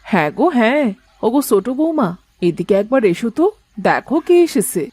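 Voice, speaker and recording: Woman doing kindly older mother-in-law, cartoon, homely and bossy, really swingy pitch, dry sound. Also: very animated, moderate pace, darkish tone, breathy edge, no echo. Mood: gently bossy. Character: hospitable, kindly older Bengali mother-in-law, head of household. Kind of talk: cartoon family drama acting.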